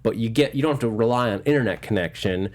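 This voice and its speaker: A man's voice: fairly low pitch, fast. Enthusiastic man hosting a show. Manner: enthusiastic, animated storytelling with acted-out asides. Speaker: show host. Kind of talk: casual movie news chat.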